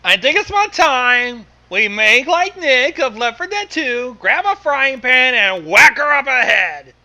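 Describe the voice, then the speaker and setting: A man with a very high, bright voice comments casually on a story. His pitch moves around in a lively way, and he reacts in short bursts of banter. Faint noise sits behind him. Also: unhurried. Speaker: guest commentator on a fan fiction reading.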